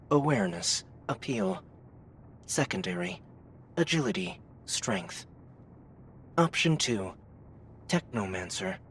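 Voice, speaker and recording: An actor voicing an impersonal game system interface in an audiobook, reading out stats in a clipped, formal, deliberate way. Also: mid-range voice, a bit bright, slight background noise. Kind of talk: LitRPG audiobook system readout.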